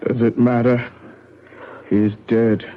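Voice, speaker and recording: An actress playing a stern, vengeful older sorceress in a radio drama, with a solemn, incantatory, theatrical delivery. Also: female voice, extremely low pitch, level tone, takes it slowly, a little hiss or hum. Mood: firm, commanding. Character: stern, vengeful older woman sorceress, a countess and devoted aunt. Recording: dull lo-fi old radio broadcast.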